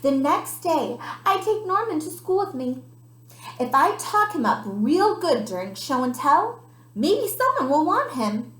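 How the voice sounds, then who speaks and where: A woman voicing an earnest young child who narrates a picture-book story with expressive emphasis. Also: sits high, unhurried, full character voice. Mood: eager. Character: earnest, dramatic young child telling a pet goldfish story. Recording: roomy, echoey sound.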